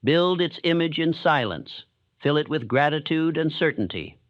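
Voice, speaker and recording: A man giving a calm, steady, measured narration in the solemn manner of a motivational sleep audiobook. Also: on the high side, no echo, highs cut off.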